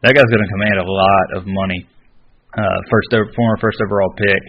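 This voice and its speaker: A man with a deep voice gives casual sports analysis as a podcast co-host. His intonation stays flat and level. The recording sounds a little muffled. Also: brisk. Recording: slightly dull, lo-fi audio.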